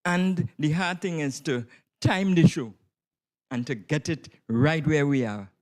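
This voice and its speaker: A man's voice: on the high side, a little slow, slight background noise. A man, speaking as a drama director answering an interview. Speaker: director of a school stage production.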